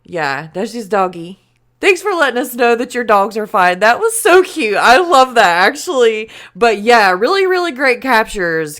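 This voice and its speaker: A young woman hosts a casual reaction video, talking slowly and unhurriedly. Her pitch swings a lot as she exclaims and chats, dropping fillers along the way. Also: a bit bright.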